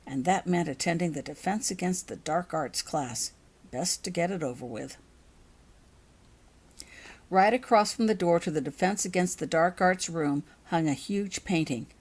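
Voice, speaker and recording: A woman's voice: on the low side, darkish tone. A woman reading her own fantasy fiction aloud as a scripted audiobook narration.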